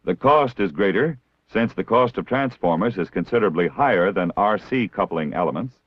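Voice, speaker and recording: An American man reading scripted instructional narration in a measured, formal, didactic way, like a 1960s military training film. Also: lowish voice, expressive, slightly rough, no echo. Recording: dull, lo-fi 1960s film soundtrack.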